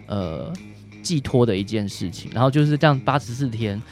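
A man's voice: medium pitch, expressive, moderate pace, music in the background. A man with a Taiwanese Mandarin accent, a doctor answering as an interview guest, explaining things in a hesitant way with frequent tag particles.

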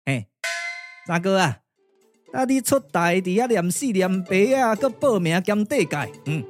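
A man's voice: high-pitched, sing-song, a little slow, faint music behind. A man voicing a bumbling, cheeky younger brother in a Taiwanese puppet-theatre radio drama, colloquial and stammering, with a Taiwanese accent. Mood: cheeky, flustered.

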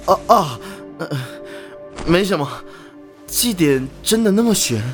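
A man's voice: sits high, lively intonation, measured pace, faint music behind. A man playing a preoccupied, probing police officer in an audio drama, speaking hesitantly and tersely. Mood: flustered.

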